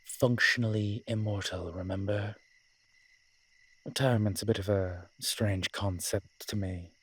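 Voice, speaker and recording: A man playing a wry, flirtatious immortal incubus demon in a roleplay audio drama, with a husky voice and a dry, teasing, conversational manner. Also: lowish voice, fairly even intonation, measured pace, fairly bright tone. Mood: musing, teasing.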